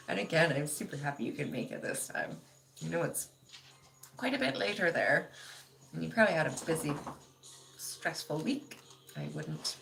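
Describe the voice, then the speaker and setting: A woman in her thirties hosting a casual livestream, reading chat comments aloud, laughing often and trailing off into soft asides. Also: lowish voice, creaky, a little hiss or hum, rough recording.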